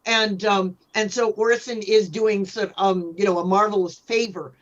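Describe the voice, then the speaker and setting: A woman's voice: mid-range voice, fairly even intonation, moderate pace. Thoughtful woman on slightly dull audio. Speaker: panelist and author. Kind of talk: casual expert discussion.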